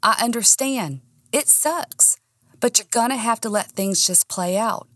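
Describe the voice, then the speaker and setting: Gentle, level-headed male friend, played by a female narrator, patient and reasoning, recorded dry. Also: fairly high pitch, a little slow, dry recording, voice pushed up. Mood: calming. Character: calm, reasoning man soothing an upset friend.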